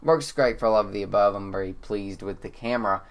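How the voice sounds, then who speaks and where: A man with a Kentucky accent, congested and slightly hoarse, explaining one of his photos in a relaxed, rambling way with lots of "um.". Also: fairly low pitch, quick pace. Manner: relaxed, rambling, frequent "um" fillers. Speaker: photographer and channel host. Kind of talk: casual talk-to-camera explainer. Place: indoors.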